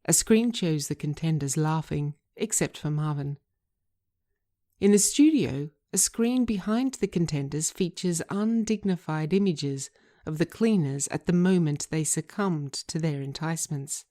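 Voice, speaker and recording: Lowish-voiced woman reading screenplay directions. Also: unhurried, dark tone. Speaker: writer reading own script. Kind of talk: scripted narration of screenplay directions.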